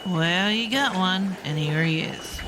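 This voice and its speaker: An actress playing a brisk, businesslike female charge nurse giving a patient report, in an audio drama. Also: lowish voice, heavy background noise. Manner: curt, businesslike.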